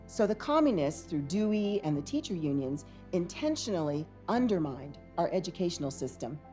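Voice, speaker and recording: A woman's voice: fairly low pitch, dark tone. A woman narrating a documentary in a scripted, declarative way, reading out a list.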